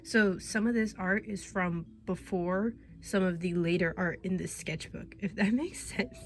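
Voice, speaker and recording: A woman's voice: lowish voice, measured pace, faint music behind. A young woman artist chatting casually and rambling, laughing often, getting over a cold. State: recovering from a cold. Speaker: artist vlogger. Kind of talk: casual chat.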